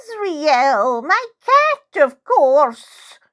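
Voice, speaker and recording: A woman voicing a strange, impatient old lady with a thin, high, trembling voice in a storybook reading. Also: sing-song, a bit bright, heavily acted. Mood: urgent, impatient. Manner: barking, slow, drawn-out questions.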